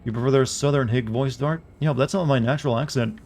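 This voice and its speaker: A man streaming a game, chatting wryly with joking asides, his voice a bit raspy. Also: mid-range voice, steady tone, fast, darkish tone, a little hiss or hum. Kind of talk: live gameplay commentary. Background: faint game music.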